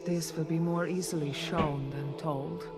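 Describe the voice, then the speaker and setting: A woman playing a solemn, wise sorceress in a video game, telling her story in a measured, grave way. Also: low-pitched, fairly even intonation. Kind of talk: scripted dramatic game narration. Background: loud atmospheric music.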